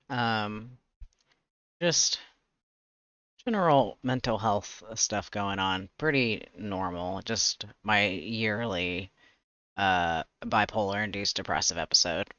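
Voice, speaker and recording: A man with a slightly raspy voice chatting casually on a livestream, rambling and pausing to search for words. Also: lowish voice, a bit bright, dry recording, muffled recording. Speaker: streamer, webcomic artist. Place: indoors, livestream.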